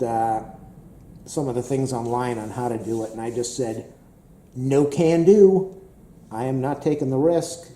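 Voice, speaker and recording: A man talks casually and rambles, repeating himself and filling pauses with "uh." His voice is very dark and mellow and a little hoarse. He sounds like he's in an echoey room. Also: mid-range voice. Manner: rambling, repetitive, many fillers. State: slightly hoarse. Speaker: tech vlogger.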